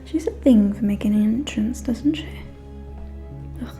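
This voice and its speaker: A woman playing a haughty, gossipy vampire noblewoman in a whispered ASMR roleplay, catty and conspiratorial, speaking close to the microphone in drawn-out phrases. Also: on the high side, mellow, soft background music. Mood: conspiratorial gossip. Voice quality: breathy whisper with murmured phrases. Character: haughty, gossipy aristocratic female vampire at a ball.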